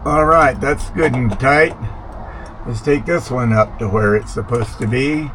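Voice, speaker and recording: A man's voice: medium pitch, unhurried, fairly bright tone. A man narrating a hands-on job casually, rambling a little as he thinks aloud. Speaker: vlogger installing a vintage radio. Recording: clear background noise, vehicle ambience.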